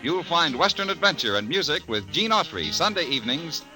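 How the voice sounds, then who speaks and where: A man's voice: a little high, sing-song, a little fast, sharp tone, low-quality recording. A man with an American accent reading scripted credits and promos in a formal, emphatic 1950s radio announcing style. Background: music.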